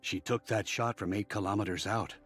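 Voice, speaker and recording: A man's voice: on the low side, fairly even intonation, a little fast, fairly bright tone, breathy edge, soft background music. An actor voicing an earnest, admiring but cautious man in a video game, talking like an articulate storyteller. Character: earnest, admiring, cautious male fan of war heroes.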